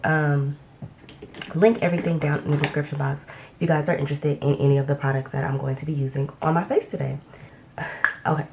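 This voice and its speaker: Low-voiced woman chatting while doing makeup. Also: darkish tone, slight background noise. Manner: chatty, rambling with frequent ums and restarts. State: slightly congested after illness. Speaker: beauty vlogger. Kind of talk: casual get-ready-with-me chat.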